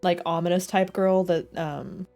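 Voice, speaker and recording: A woman's voice: lowish voice, fairly even intonation, unhurried, creaky. A woman live-streaming a video game, chatting casually in a rambling, reactive way. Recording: game music behind the voice.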